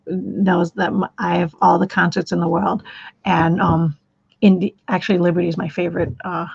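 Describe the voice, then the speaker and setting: A woman hosts a live stream, her voice heavy with gravelly fry and a husky edge. She rambles, repeating words and restarting her sentences. The stream audio is dull and lo-fi. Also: on the low side. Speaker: livestream host.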